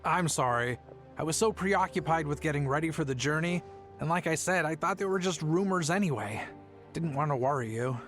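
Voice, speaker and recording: Lighter-voiced, nervous merchant dad. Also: male voice, on the high side, expressive, a little fast, fairly bright tone, faint music behind. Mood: apologetic. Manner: hesitant, placating. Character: nervous, apologetic merchant father, gentle and evasive. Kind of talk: video game voice acting.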